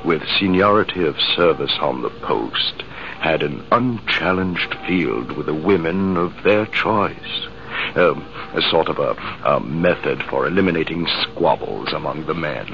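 An actor playing a male army major who tells the story in a reflective, measured way, in 1950s radio drama style. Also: extremely low pitch, lively intonation, vocal fry, full character voice. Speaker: narrator. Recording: hissy, muffled old radio broadcast.

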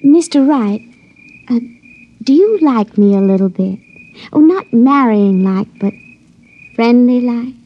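High-voiced, naive hillbilly girl. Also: expressive, takes it slowly, pauses often. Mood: shy, smitten. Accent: American hillbilly. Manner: folksy, guileless, plain-spoken. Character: naive, lovestruck young backwoods hill girl with uncanny powers. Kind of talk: 1950s radio drama acting. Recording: dull lo-fi old radio recording.

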